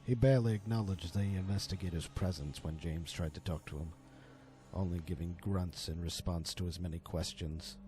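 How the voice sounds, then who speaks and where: A man narrating a scripted horror story in a theatrical manner, with deliberate dramatic pauses. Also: low-pitched, fairly even intonation, warm tone. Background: music under the voice.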